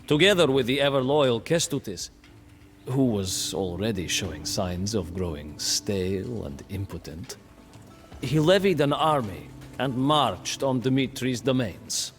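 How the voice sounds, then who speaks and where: A man's voice: medium pitch, lively intonation, unhurried, soft background music. An actor playing a proud, bitter medieval Lithuanian prince who narrates his story theatrically in a video game cutscene. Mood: grave, measured. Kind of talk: dramatic scripted historical narration in character.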